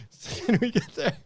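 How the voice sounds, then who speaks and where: Casual higher-pitched man, podcast banter. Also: background noise. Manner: chuckles often, profane. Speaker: podcast co-host.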